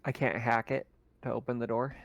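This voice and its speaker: A man's voice: mid-range voice, level tone, a little fast, vocal fry, no room sound. A man playing a tabletop game, chatting casually about rules and rolls.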